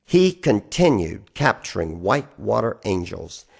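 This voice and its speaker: Slow, expressive man reciting poetry. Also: fairly low pitch, gravelly, breathy edge. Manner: deliberate phrasing with theatrical emphasis. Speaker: reader of poetry. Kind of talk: dramatic spoken-word poetry recitation.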